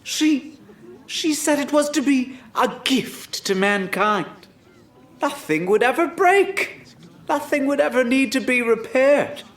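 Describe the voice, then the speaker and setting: An actor playing a guilty, greedy male scientist coming apart, stammering through a halting, manic confession in an audio drama. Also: high voice, animated, reverberant, full character voice. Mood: bitter.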